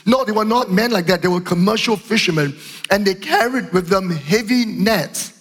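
High-voiced man preaching in a reverberant hall. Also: normal speed, a bit bright, slightly rough. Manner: earnest, repeats phrases, fillers and restarts. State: slightly hoarse from a cough. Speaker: pastor. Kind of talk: sermon. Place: church auditorium.

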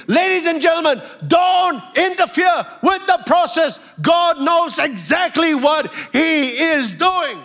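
Pastor guy preaching, kinda nasal from a flu, high voice, gets loud and drawn out on the big points. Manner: preaching, rising to shouts and slowing for emphasis. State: has a flu. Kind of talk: sermon.

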